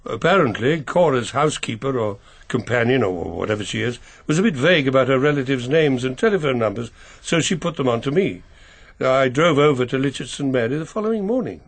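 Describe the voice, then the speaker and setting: Older english lawyer type, proper n precise, bit hesitant, lively pitch, husky, radio play. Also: male voice, a little fast. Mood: grave, confiding. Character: proper, reserved older English family solicitor. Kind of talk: radio mystery drama acting.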